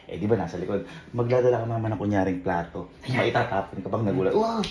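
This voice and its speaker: A man excitedly laying out a prank plan in casual chat, laughing between lines. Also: on the low side, fast talker, noisy background. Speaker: prank organizer. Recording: roomy sound from a distance with music behind. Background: music.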